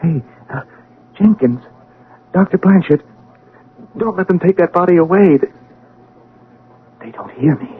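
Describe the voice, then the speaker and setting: An awestruck man of science adrift from his body in a vintage radio play. His voice sits a little high, halting and dramatic. The broadcast is thin and narrow, with faint noise behind it. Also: animated. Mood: bewildered, grave. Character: awestruck scientist floating outside his own dead body. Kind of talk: old-time radio drama acting. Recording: narrow-band, noisy old radio broadcast.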